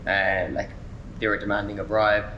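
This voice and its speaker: Young Irish man, low voice, casual. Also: moderate pace, muffled recording, a little hiss or hum. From Ireland. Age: 20s. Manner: relaxed, frequent fillers like "um" and "like". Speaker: traveler guest. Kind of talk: casual conversational interview.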